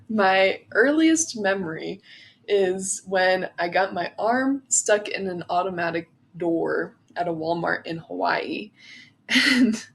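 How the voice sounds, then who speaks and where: A woman's voice: fairly low pitch, takes it slowly, creaky. A young woman giving a personal slideshow presentation in a chatty way, with fillers and small laughs. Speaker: student presenter.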